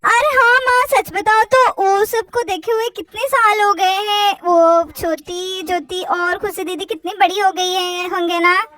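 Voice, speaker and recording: Woman playing a high, coy elder daughter. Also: fairly even intonation, measured pace, few pauses, sharp tone, slight background noise, full character voice. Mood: nostalgic. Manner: coy, girlish, chatty. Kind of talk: amateur play-acted family story.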